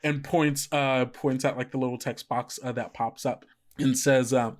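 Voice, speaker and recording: A man's voice: medium pitch, fairly even intonation, moderate pace, a little hiss or hum. A man running a tabletop game as game master, describing scenes in a rambling way, full of fillers.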